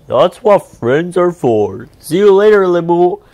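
A woman voicing a big, gentle male whale in a storybook, with emphatic, drawn-out words. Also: fairly low pitch, big pitch swings, voice pushed up. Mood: grateful, friendly.

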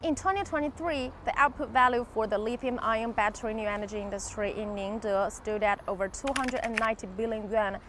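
A woman's voice: a little high, brisk, few pauses, noisy background. A young woman reporting on camera on location, enthusiastic and emphatic. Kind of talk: presenting on location. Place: factory floor and outdoors.

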